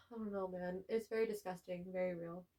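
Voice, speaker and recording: A young woman co-hosting a podcast, telling stories in animated casual chat. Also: lowish voice, moderate pace. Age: young adult. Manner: animated storytelling with frequent laughs. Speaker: podcast co-host.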